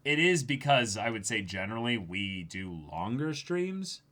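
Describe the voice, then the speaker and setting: A man with a bright, forceful voice at a middle pitch. He co-hosts a manga reading stream, moving between casual chat and reading aloud. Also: sing-song, unhurried.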